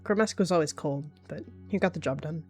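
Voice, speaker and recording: A woman tells an animal fantasy story in the third person as part of a roleplay. Her voice sits fairly low, with faint music behind it. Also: brisk, a little mellow. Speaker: roleplay narrator.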